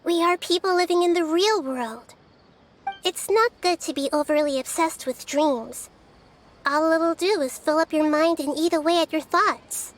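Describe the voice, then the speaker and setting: A woman voicing a small, curious childlike goddess in a video game, soft and thoughtful in manner. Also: very high-pitched, unhurried, a little hiss or hum. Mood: gentle. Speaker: game character.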